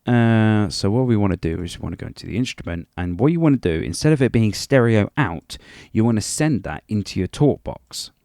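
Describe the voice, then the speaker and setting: Low, mellow-voiced man, rambling tutorial. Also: lively intonation, a little fast, dry recording, clean studio sound. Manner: rambling, self-deprecating, chatty. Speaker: music production tutorial presenter. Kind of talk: informal software tutorial narration.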